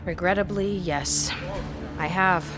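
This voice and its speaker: A woman's voice: on the low side, slow pace, loud music behind the voice. A woman playing an earnest, guarded scholar giving formal testimony in an audio drama.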